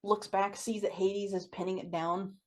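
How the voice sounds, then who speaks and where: Woman game master, mid-pitched voice. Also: level tone, normal speed, a little mellow. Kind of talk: narrating an online tabletop game. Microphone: online voice call from own mic.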